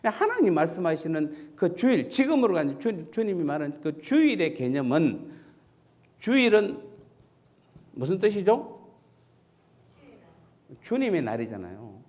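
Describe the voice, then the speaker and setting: A man's voice: on the high side, big pitch swings, unhurried, long pauses, a little mellow, dull audio. A man from Seoul, a pastor giving a conversational sermon in a church sanctuary, asking the congregation questions and answering them himself.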